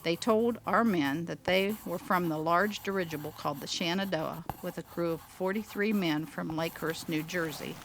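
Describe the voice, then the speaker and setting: A woman playing an older storyteller, narrating her memories of an airship wreck in a measured, deliberate way. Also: lowish voice, a little hiss or hum, lightly acted, poor audio. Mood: solemn recollection. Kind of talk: scripted first-person narration.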